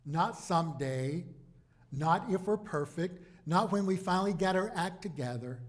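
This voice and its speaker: A man preaching a sermon as a pastor, in deliberate, emphatic phrases broken by dramatic pauses. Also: fairly high pitch.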